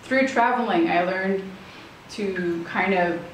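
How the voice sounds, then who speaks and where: A woman's voice: medium pitch, slow, recorded from a distance. A woman from Switzerland, chatting casually as an interview guest and rambling as she searches for words. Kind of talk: casual chat. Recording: very echoey room with faint noise.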